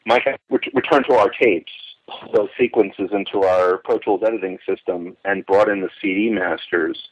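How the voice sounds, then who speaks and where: A man explains things informally as a commentator, circling back and rephrasing as he goes. His voice is on the low side, and the recording is muffled and lo-fi. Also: steady tone, normal speed. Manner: rambling, repeats and rephrases himself. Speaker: commentator on radio drama history. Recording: dull, lo-fi voice recording.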